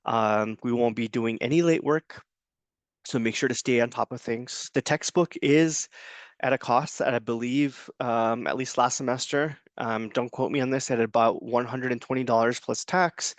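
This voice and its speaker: Casual man with a smooth voice. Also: medium pitch, steady tone, moderate pace, no room sound. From United States. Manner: informal, hesitant, many ums and restarts. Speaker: adjunct Spanish professor. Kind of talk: recorded course introduction.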